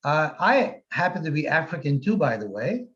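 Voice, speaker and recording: A man from Morocco hosting a casual interview, talking quickly and repeating words as he searches for them. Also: on the high side, big pitch swings, a bit bright. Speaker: host. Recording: dull lo-fi sound.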